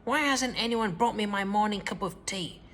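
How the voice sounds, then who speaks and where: A man doing a shrill, bellowing old hag of a grandma. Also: fairly quick, fairly bright tone, in a large hall, a little hiss or hum. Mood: indignant. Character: enormous, horrid, greedy old grandmother.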